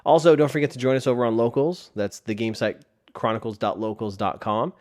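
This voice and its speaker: A man's voice: medium pitch, steady tone, fairly quick, a little mellow. A man hosting a solo podcast in a casual, rambling way, his voice tired from a sore throat. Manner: rambling with frequent restarts. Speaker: podcast host. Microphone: desk microphone.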